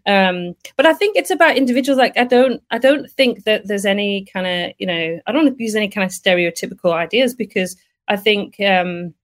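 A woman hosting a podcast, talking loudly and chattily with many fillers over a video call. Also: medium pitch, lively intonation, a little fast. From Britain. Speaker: podcast host. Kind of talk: casual live chat on a video call.

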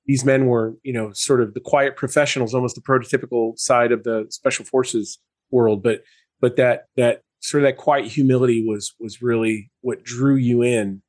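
A man hosting a podcast, reading a scripted intro and asking questions haltingly with fillers. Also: medium pitch, steady tone, a little mellow, no echo. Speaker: podcast host.

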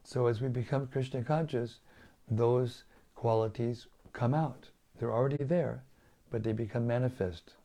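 An older man, a spiritual teacher, giving a slow devotional talk on scripture and often repeating or restarting his words. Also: mid-range voice, fairly even intonation, mellow.

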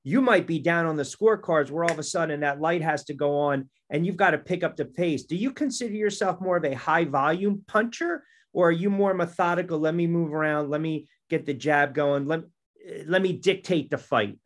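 A man's voice: on the high side, brisk. A man hosting a sports podcast, conversational, asking long leading questions. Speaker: podcast host. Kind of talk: sports talk interview.

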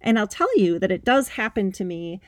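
Woman, 30s, podcast host: emphatic, upbeat pep talk, mid-pitched, dry close sound. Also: animated, a little fast, no room sound. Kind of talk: motivational solo podcast talk.

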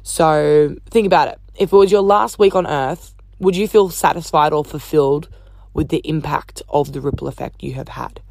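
A woman hosting a casual solo podcast, chatty and upbeat, swearing casually, her voice croaky from talking all day. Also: deep voice, moderate pace, darkish tone, dry recording. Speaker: podcast host.

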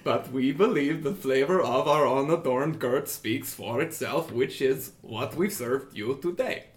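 A man's voice: medium pitch, a little slow, natural voice. A man playing an earnest, formal yogurt maker pleading his case in a shaky attempt at a Swedish accent.

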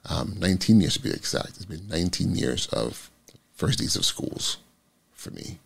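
Deep raspy guy in his 40s, principal doing an early vlog, gravelly low voice, lots of ums. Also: animated, moderate pace, a bit bright. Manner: rambling, reflective, many ums. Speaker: school principal. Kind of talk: casual morning vlog.

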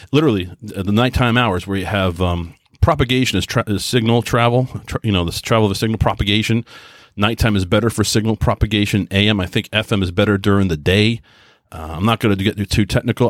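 A man's voice: fairly low pitch, no room sound, clean studio sound. An American man from New York hosting a casual solo podcast, talking in a rambling, folksy, enthusiastic way about his hobby. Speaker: podcast host and radio hobbyist.

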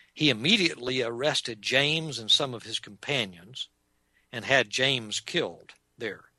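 A man with a Texan drawl teaches church history in an unhurried lecture, pausing often on "uh" as he explains. His voice is bright and forward, recorded close and dry with no room sound. Mid-pitched and steady for a man. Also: no echo. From Texas. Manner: measured and explanatory, with frequent "uh" pauses. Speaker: Bible teacher.

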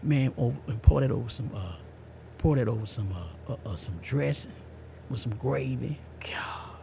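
A man's voice: mid-range voice, expressive, normal speed, gravelly, noisy background. A man in his fifties hosting a podcast, giving a casual personal testimony in an emphatic, slangy way, often repeating himself. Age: 50s. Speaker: podcast host.

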